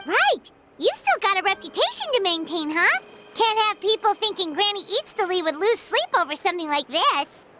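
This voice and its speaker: A tiny childlike fairy companion from a video game, extremely high and very animated. The delivery is chatty, excitable and teasing, with loud music underneath. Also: female voice, normal speed. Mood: cheeky. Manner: excitable, teasing, refers to self in third person. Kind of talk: video game character acting.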